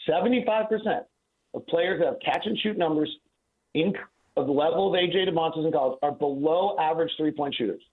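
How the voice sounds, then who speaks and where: A man's voice: fairly high pitch, fairly quick. A man giving conversational sports analysis on the radio as a guest, emphatic and argumentative, repeating himself for stress. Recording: tinny phone-like line.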